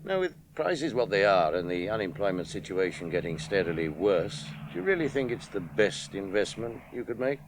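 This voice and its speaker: A man's voice: low voice, very animated, normal speed, few pauses, noisy background. An actor playing a prim, sardonic male flight instructor who speaks in a clipped, condescending way, in a 1970s radio drama. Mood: probing.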